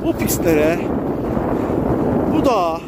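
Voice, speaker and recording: A man skiing down an outdoor slope, giving excited sportscaster-style running commentary to viewers, with repeated catchphrases. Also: sits high, takes it slowly. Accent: Turkish. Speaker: ski vlogger. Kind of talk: live commentary while skiing. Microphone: action camera mic in the wind. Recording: loud wind noise on an outdoor mic. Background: loud wind noise.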